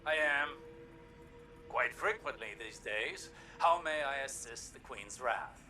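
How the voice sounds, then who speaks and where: An actor playing an older scholarly warlock in a video game, grave and proud, with formal, measured, erudite speech. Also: male voice, medium pitch, bright, forceful tone, soft background music. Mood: dry, curious.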